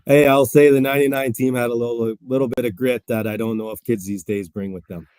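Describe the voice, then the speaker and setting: A man's voice: mid-range voice, fast, clean tone, no echo. Upbeat man in his forties, dry sound. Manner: upbeat, relaxed, with fillers. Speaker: guest, bench coach. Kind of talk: casual interview.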